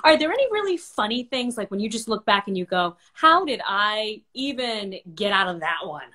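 A woman in her thirties hosting a conversational interview over a video call in an expressive way. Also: medium pitch, moderate pace. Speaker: interviewer, host. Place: home room with bookshelves, video call.

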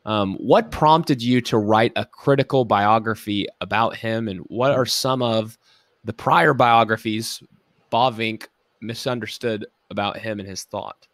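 Dry low-voiced male podcast host. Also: a bit bright, dry recording. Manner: polite, a bit halting, with uh and um. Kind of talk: casual interview hosting.

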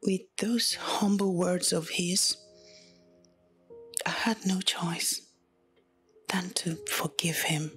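Actress as a wife telling her own story; slow, hushed, breathy delivery. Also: medium pitch. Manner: soft, breathy, often whispered. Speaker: narrator. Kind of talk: first-person story narration.

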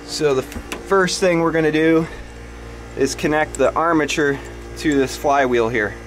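A man's voice: a little high, measured pace, soft background music. A man in his thirties giving a plain, matter-of-fact walkthrough of his own boat repair, filmed with a head-mounted camera in a cramped engine room. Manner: plain, matter-of-fact explaining while working. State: sweating and out of breath. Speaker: boat owner showing a repair. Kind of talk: casual DIY narration.

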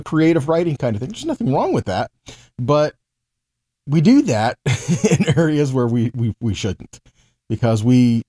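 Mellow American man teaching scripture. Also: medium pitch. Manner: explanatory, with frequent check-in tags like okay and right. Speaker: Bible teacher. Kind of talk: conversational teaching sermon.

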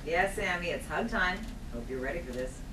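A woman playing a brisk, offbeat coffee shop employee in a comedy table read. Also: on the low side, expressive, fairly bright tone. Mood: cheerful, offbeat. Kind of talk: comedy table read before a live audience. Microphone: far camera. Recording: distant, echoey stage recording with background noise.

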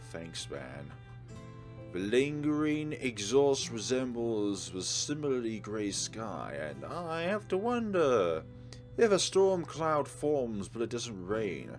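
A man hosting a let's play, reading game text aloud and commenting on it. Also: mid-range voice. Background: game music.

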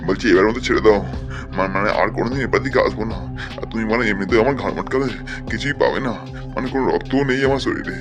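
Man playing a fast-talking flustered cartoon coward. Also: lowish voice, lightly acted. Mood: frightened pleading. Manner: stammering, rambling, pleading. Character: flustered cowardly boss pleading with a ghost. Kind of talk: amateur comic cartoon dub. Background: faint background music.

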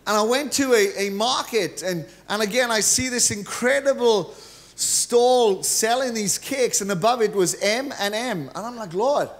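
A middle-aged man with a Welsh accent delivers an energetic, humorous sermon, his voice sitting notably high for a man. He speaks into a handheld mic on a large church stage. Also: unhurried. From Wales. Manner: energetic, joking preacher, gestures freely while pacing the stage. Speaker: guest preacher.

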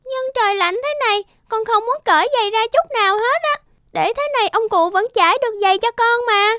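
A woman's voice: a little fast, dry recording, studio quality. A woman voicing a vain, spoiled little girl in a cartoon fairy tale, childlike, whiny and theatrical. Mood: vain, sulky. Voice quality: childlike, high and bright. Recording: music behind the voice.

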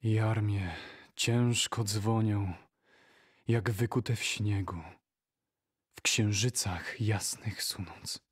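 Male reciter for audio drama: husky, very unhurried, solemn and measured. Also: lowish voice, fairly even intonation. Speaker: poetry reciter.

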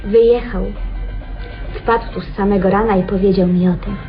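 A woman's voice: medium pitch, steady tone, normal speed, natural voice. A woman acting in a vintage radio play as a good-natured, naive waitress, talking in a casual, gossipy way. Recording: dull old radio recording with music.

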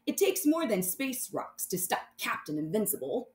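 A woman's voice: fairly high pitch, big pitch swings, moderate pace, reverberant. A woman voicing a bold, dramatic boy space hero in a children's storybook, in a theatrical, exclamatory manner. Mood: confident. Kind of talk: children's storybook read-aloud.

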